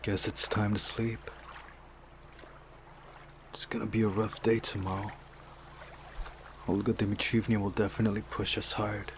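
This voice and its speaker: A man reading visual novel narration aloud in a measured, soft dramatic way, as a playthrough host. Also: fairly low pitch, flat delivery, a little hiss or hum. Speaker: host of a game playthrough channel. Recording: music behind the voice. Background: game music.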